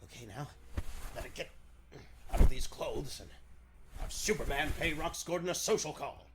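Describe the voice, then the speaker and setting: A man's voice: on the high side, moderate pace, noisy background, pitched below the performer's own voice, lightly acted. A man playing a bold, earnest superhero thinking aloud, declamatory and melodramatic in 1940s radio serial style. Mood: determined, hushed.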